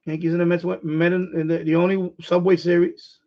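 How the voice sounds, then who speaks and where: A middle-aged man from New York with a New York accent, hoarse, chatting casually on his livestream and restarting his phrases as an eager sports fan. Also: high voice, little pitch movement, fairly quick. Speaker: livestream host. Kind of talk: casual rambling chat. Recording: dull lo-fi stream audio.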